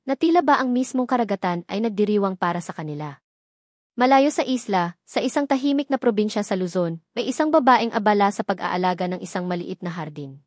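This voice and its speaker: Measured woman narrating a romance story. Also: mid-range voice, no echo, clean studio sound. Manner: measured, dramatic.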